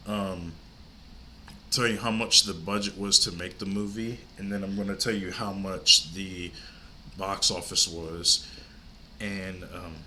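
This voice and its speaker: Low, sharp-toned congested older man. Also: a little hiss or hum. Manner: rambling, chatty. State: congested, stuffy nose. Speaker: movie channel host. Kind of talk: casual vlog chat.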